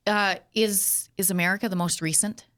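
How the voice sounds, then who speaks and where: A woman's voice: medium pitch, fairly even intonation, moderate pace, fairly bright tone. A woman hosting a casual studio interview, friendly and chatty, laughing easily. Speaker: talk show host.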